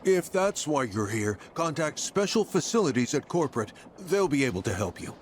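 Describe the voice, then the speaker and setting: An actor playing a polite, officious and slightly hesitant company attendant in a video game. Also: male voice, on the high side, sing-song, moderate pace, slight background noise. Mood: polite, uneasy. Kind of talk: video game character acting.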